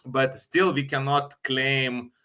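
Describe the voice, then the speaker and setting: A man from Lithuania with a Lithuanian accent, answering as an interview guest in halting non-native English with repeated words. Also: medium pitch, fairly even intonation, bright, forceful tone. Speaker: guest, company co-founder and sleep coach.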